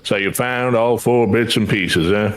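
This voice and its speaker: Man doing a gruff, low-pitched blunt blacksmith. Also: a little slow, natural voice. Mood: teasing. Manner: gruff, folksy, colloquial. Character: gruff, blunt male blacksmith, teasing and foul-mouthed.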